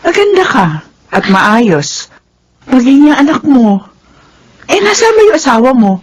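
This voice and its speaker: An actress with a Filipino accent playing a chatty, nosy but soft-hearted landlady in a radio drama. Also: high voice, big pitch swings, measured pace, husky, full character voice. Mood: curious. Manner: inquisitive, familiar.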